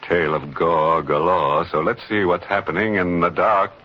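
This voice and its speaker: An actor playing a gleefully morbid horror host, narrating theatrically in 1940s radio style. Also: male voice, low-pitched, fairly even intonation, moderate pace, lightly acted. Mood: morbidly playful. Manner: theatrical, ghoulishly playful. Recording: very noisy, dull old radio recording.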